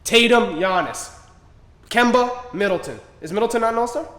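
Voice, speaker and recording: A man's voice: very high voice, lively intonation, normal speed, a bit bright. A man co-hosting a sports podcast with brash, slangy banter, repeating himself for emphasis, in a reverberant room. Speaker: podcast co-host.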